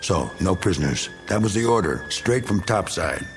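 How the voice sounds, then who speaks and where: An actor playing a bitter, bigoted, self-pitying middle-aged American war veteran in a radio drama, with blustering, needling, slangy tough talk. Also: male voice, sits low, animated, normal speed, soft background music. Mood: defensive. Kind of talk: dramatic radio play acting.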